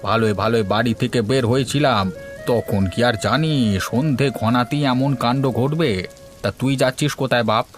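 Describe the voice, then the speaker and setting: A man's voice: mid-range voice, steady tone, a little fast, close to an everyday voice. Man acting a kindly old village uncle. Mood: kindly, curious. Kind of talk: cartoon dialogue. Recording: music and rain behind the voice.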